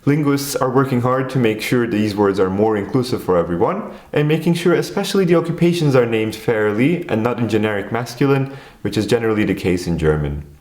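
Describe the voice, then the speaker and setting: Calm man from Turkey, echoey room. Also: mid-range voice, brisk. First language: Turkish. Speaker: video essay host. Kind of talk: scripted explainer narration.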